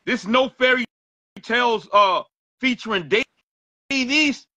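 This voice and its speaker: A man's voice: very high-pitched, slow pace, bright, forceful tone, no room sound, dull audio. A man from New York hosting an album review, talking in a hyped, exclamatory way. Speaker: host.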